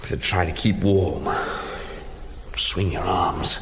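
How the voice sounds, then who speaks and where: Deep-voiced British factory boss. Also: male voice, takes it slowly, slight background noise. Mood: irritated, uneasy. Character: grumbling middle-aged food factory boss, out of condition. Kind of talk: radio drama acting.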